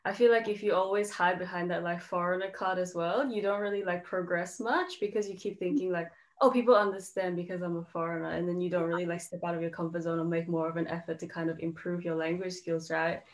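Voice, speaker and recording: A woman's voice: on the low side, little pitch movement, fast, few pauses. A woman hosting a casual interview over a video call, asking questions with many filler words. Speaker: podcast host.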